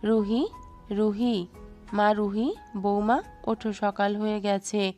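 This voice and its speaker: A woman voicing a gentle, caring mother who speaks slowly, with music behind. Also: medium pitch, fairly even intonation. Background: soft background music.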